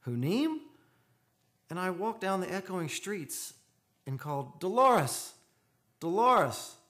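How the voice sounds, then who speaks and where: An actor playing a haunted, lovelorn seafarer in a radio play, with theatrical, poetic delivery. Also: male voice, sits high, sing-song, slow pace, a bit bright, exaggerated voice. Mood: desperate, calling. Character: Gunnar, lovelorn haunted seafaring man, brooding and desperate. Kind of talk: old-time radio drama acting. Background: music behind the voice.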